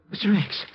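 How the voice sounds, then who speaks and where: An actor playing a nervous, excitable comic sidekick in a 1950s radio drama, stammering and slangy. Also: male voice, very high-pitched, heavily acted. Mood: horrified. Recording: muffled, hissy vintage radio broadcast.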